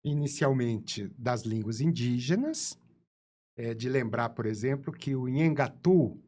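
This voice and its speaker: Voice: mid-range voice, a little slow, mellow. A podcast host asking a guest questions, thinking aloud with hesitant fillers. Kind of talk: interview questions.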